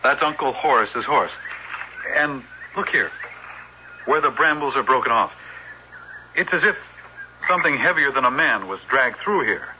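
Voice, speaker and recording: An actor playing a headstrong, impatient young nephew in an old-time radio drama. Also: male voice, mid-range voice, lively intonation, moderate pace, long pauses, natural voice. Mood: urgent, pointed. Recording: muffled vintage radio recording, faint hiss.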